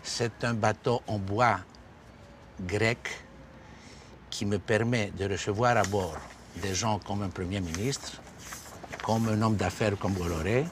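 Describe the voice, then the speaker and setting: A man, answering interview questions in a relaxed, expansive way, with small slips. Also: fairly low pitch, slow pace, long pauses, a little hiss or hum. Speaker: interviewee, businessman.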